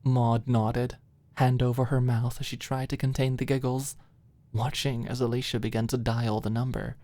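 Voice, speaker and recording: A woman's voice: rumbling low, little pitch movement, normal speed, warm tone, no echo. A woman narrating a scripted ASMR audiobook story, close to the microphone.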